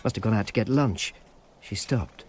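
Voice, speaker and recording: An actor as Falco, a world-weary Roman informer. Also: male voice, fairly low pitch, normal speed, darkish tone, slight background noise, close to an everyday voice. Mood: shaken, subdued. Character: Falco, world-weary, sardonic male informer in ancient Rome. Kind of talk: radio drama narration and dialogue.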